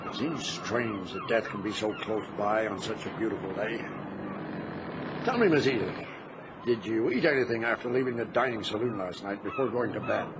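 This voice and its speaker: An actor playing a dutiful, stubborn ship's captain in old-time radio drama, speaking with formal, old-fashioned courtesy. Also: male voice, fairly low pitch, animated, normal speed. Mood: probing, courteous. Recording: noisy, muffled vintage radio recording.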